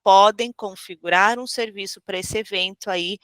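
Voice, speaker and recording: A woman's voice: medium pitch, normal speed, fairly bright tone, clear, resonant tone, no echo. A Brazilian woman with a cold, hosting a live webinar as a trainer in a friendly, informal, explanatory way. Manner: friendly, informal, explanatory with frequent check-ins. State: has a cold. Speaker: webinar host and software trainer.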